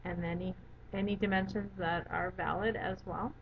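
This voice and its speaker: Woman narrating a software tutorial, dull sound. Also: on the low side, fairly even intonation, normal speed. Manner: methodical, instructional. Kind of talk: step-by-step software walkthrough narration. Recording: dull lo-fi audio with background noise.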